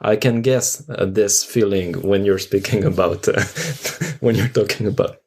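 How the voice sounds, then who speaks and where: A man's voice: on the low side, normal speed, a little mellow, husky. A man hosting a casual conversation, feeling his way through roundabout, tentative questions.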